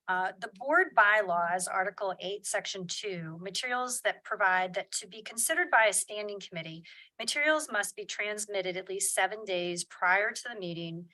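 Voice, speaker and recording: A woman's voice: mid-range voice, normal speed, pushed and bright, low-quality recording. A woman chairing a committee meeting, speaking in a formal, procedural way in a meeting room.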